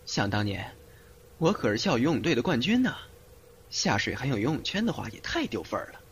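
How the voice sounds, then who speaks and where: Man acting a lively, nagging young boyfriend. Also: mid-range voice, fairly quick, lightly acted. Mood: breezy. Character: breezy nagging young male undercover lover, affectionate, cocky. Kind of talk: amateur Chinese audio drama acting. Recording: hissy amateur home recording.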